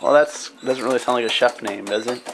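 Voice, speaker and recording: A man's voice: medium pitch, steady tone, a little fast. Young man, wisecracking vlogger, home kitchen, handheld camera mic, faint noise. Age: young adult. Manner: teasing, wisecracking. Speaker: vlogger filming. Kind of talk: casual joking banter.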